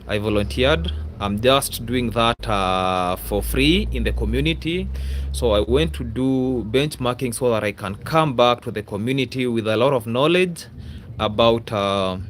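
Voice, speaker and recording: A man's voice: medium pitch, animated, a little slow, a little hiss or hum. A man with a Kenyan accent hosting a casual livestream, rambling and repeating himself, often adding a questioning "yeah?" to his sentences. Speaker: YouTuber, livestream host. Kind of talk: casual livestream chat to viewers.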